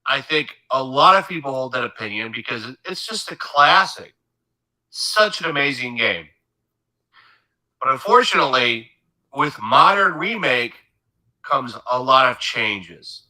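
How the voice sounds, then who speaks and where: A man giving scripted gaming news commentary in a steady way, congested from a cold. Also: mid-range voice, unhurried, low-quality recording.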